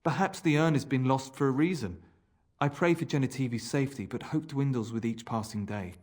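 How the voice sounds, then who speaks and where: A nervous, evasive male assistant to a scholar, played by a game actor. His voice sits at a middle pitch, with a solemn, hesitant delivery. He sounds like a gloomy zealot from a fantasy adventure game. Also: brisk, darkish tone, close to an everyday voice. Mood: worried, gloomy. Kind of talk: fantasy video game voice acting.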